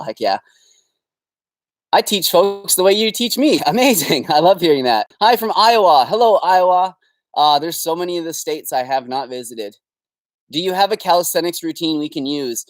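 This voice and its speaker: A man's voice: fairly high pitch, no room sound. Upbeat Canadian guy chatting outdoors. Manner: upbeat, rambling with fillers and laughs. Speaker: host. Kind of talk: casual live Q&A. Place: backyard, outdoors.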